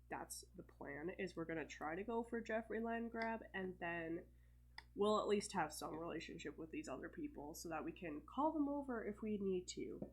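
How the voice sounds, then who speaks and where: A young woman with a stuffed-up cold, casually commentating her gameplay in a chatty, think-aloud way with fillers and soft laughs. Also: medium pitch, breathy edge. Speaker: let's play host.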